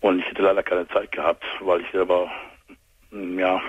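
A man calling a phone-in show, hoarse from a cold, speaking haltingly with many fillers. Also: low-pitched, level tone. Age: 40s. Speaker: caller. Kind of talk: phone-in call. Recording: muffled phone line.

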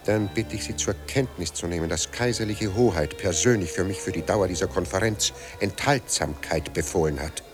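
An actor in a German radio play as a proud, suspicious émigré Austrian writer who drinks, formal and brooding. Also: male voice, sits low, normal speed, few pauses, over music, close to an everyday voice. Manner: grandly formal, dramatic, brooding.

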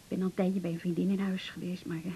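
A woman acting a distressed female patient in a radio play, with a soft dark tone. She falters, repeats herself and trails off in fragments, over clear hiss and rumble. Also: little pitch movement, lightly acted. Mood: dejected. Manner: halting, stammering, repeats words, breaks into short fragments. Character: distressed, depressed woman patient, jilted and pleading. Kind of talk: naturalistic 1980s Dutch radio drama acting. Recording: noisy older radio recording with rumble.

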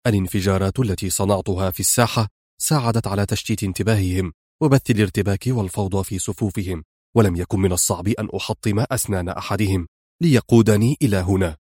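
A man's voice: fairly low pitch, a little mellow, no echo, studio quality, pitched below the performer's own voice, natural voice. A man doing a terse, firm secret agent. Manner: firm, quick and clipped.

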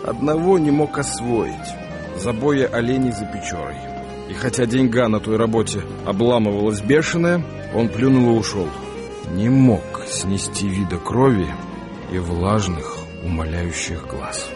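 A man's voice: lowish voice, animated, measured pace. Wry male storyteller over music. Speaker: narrator. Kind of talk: dramatic scripted narration of a story. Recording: music bed behind the voice.

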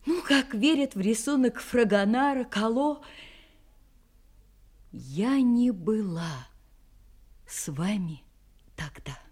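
Sad earnest young woman in an audio play, real slow and halting, soft mellow voice, nearly whispering at times. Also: fairly high pitch, animated, husky, full character voice. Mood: frank, sorrowful. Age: young adult. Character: serious young woman in love, sad, frank, parting. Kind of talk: audio drama acting.

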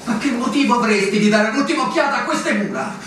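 A man's voice: extremely high pitch, quick pace, fairly bright tone. A man playing a brooding inner-voice narrator in a theatrical radio drama, speaking in poetic, declamatory phrases. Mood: urgent, exhorting. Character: brooding male inner-voice narrator urging a young officer. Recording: very echoey stage, distant mic, hiss.

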